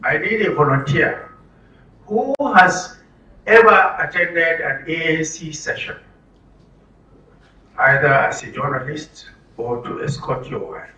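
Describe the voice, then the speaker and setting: Man, Nigerian accent, public speaker, emphatic, question-and-answer delivery, sharp bright tone, distant echoey mic. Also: fairly high pitch, slow, pauses often. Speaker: presenter at a health orientation for media. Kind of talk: interactive public talk. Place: room with an audience. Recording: roomy, distant mic, faint noise.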